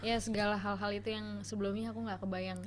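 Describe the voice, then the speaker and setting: A young woman talks at a medium pitch in a casual interview. She is chatty, likes to repeat words for emphasis and slips English into her speech. There is noticeable noise in the recording. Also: level tone, fast. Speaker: podcast guest, TV journalist. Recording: clearly noisy background.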